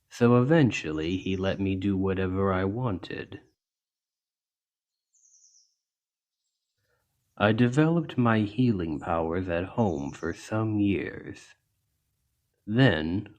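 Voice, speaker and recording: A man voicing a deep, slow, gentle orc healer. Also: full character voice. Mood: earnest, reflective. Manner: measured, earnest, reassuring. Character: large gentle orc healer, kindly and plain-spoken. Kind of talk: ASMR roleplay, soft storytelling to an injured listener.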